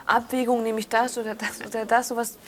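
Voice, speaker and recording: An actress playing a detached woman confessing, speaking haltingly and matter-of-factly, with repetitions, in a naturalistic radio drama. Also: a little high, level tone, a little hiss or hum, lightly acted. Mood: detached, insistent.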